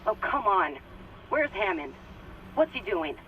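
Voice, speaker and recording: A woman's voice: mid-range voice, sing-song, measured pace, lo-fi sound. A woman playing a tense, cynical and shaken crew member in a video game, edgy and confiding. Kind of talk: dramatic video game acting. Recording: distant voice in heavy noise.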